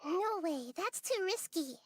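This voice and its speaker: A woman voicing a gentle, childlike young goddess in an anime video game, speaking in an earnest, measured and articulate way. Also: very high voice, expressive, dry recording. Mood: firm, principled. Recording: game music under the voice.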